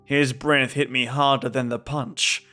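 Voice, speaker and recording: A man's voice: mid-range voice, flat delivery, measured pace, bright, forceful tone. A man reading a scripted first-person horror story as a dramatic narrator. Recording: voice over background music.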